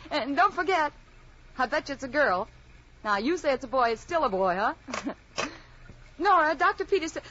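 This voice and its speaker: An actress playing an earnest, emotional wife in a melodramatic radio drama. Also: female voice, high voice, sing-song, normal speed, pauses often, a bit bright, dull audio, slight background noise. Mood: worried, reassuring. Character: anxious, loving pregnant wife, later sad and reflective narrator. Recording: vintage radio drama with music behind.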